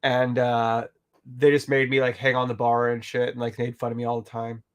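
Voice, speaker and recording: A man's voice: medium pitch, completely flat delivery, a little fast, smooth. Australian man, laid-back art vlogger, rambly, filler-heavy, swears offhand. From rural Queensland, Australia. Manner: rambling, full of "like" and "um", swears casually. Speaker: artist vlogger. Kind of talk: casual chat while painting.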